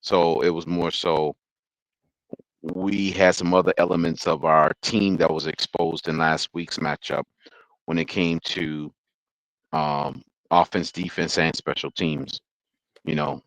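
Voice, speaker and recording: A man talking football as a guest fan, rambling and halting with frequent chuckles. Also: very deep voice, steady tone, a bit bright, no room sound, rough recording. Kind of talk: casual sports chat.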